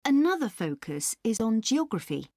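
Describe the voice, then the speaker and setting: A woman playing a helpful country park staff member in a scripted dialogue, explaining things deliberately. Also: fairly high pitch, very animated, warm tone, no echo, clean studio sound. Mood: helpful, informative. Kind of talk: scripted listening-test dialogue.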